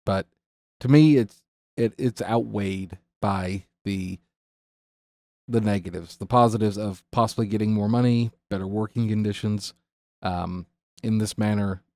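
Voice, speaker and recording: A man's voice: fairly low pitch, a little slow, warm tone, no echo. An American man co-hosting a podcast, discussing casually and piecing his opinions together hesitantly in short phrases. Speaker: podcast co-host.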